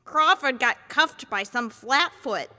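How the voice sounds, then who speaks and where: Woman doing a high, bright, nervous henchman. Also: lively intonation, slow pace, exaggerated voice. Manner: hesitant, slangy street-tough talk. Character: nervous, hapless male gangster henchman. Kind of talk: old-time radio serial acting. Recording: hall-like reverb.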